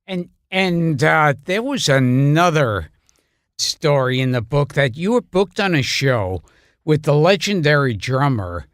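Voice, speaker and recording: A man co-hosting a podcast, asking halting questions. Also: fairly high pitch, sing-song, dry recording, clean studio sound. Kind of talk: interview questions.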